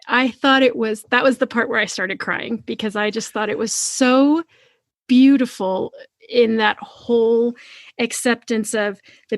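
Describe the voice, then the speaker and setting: A woman podcast host talking conversationally about books in an earnest, expressive manner. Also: fairly high pitch, dry recording, clean studio sound. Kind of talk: conversational book discussion.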